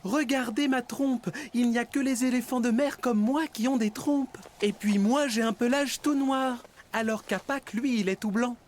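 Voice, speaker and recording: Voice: medium pitch, normal speed, few pauses, slight background noise, lightly acted. An actor playing a friendly, helpful elephant seal who explains things patiently in a cartoon dub. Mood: friendly explaining. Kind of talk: cartoon dubbing.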